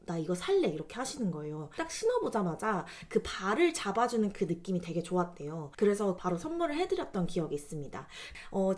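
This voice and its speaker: Young woman with lively, swinging intonation. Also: medium pitch. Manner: chatty, explanatory, polite address to viewers. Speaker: video creator recommending gifts. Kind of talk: casual product-recommendation vlog talk.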